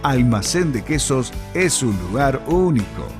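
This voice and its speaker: A man's voice: medium pitch, very animated, unhurried, over music. A man reading a scripted advert as an announcer.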